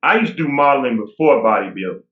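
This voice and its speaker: A man's voice: medium pitch, fast talker. Rambling forties man over a tinny line. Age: 40s. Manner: relaxed, rambling with frequent restarts. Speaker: guest bodybuilder. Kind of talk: casual interview talk. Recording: tinny phone-like line, roomy echo.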